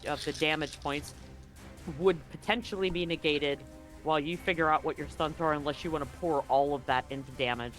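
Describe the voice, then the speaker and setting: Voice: mid-range voice, fairly even intonation, fairly quick, fairly bright tone, faint music behind. A game master narrating a live-streamed tabletop roleplaying game in an informal way, with casual swearing.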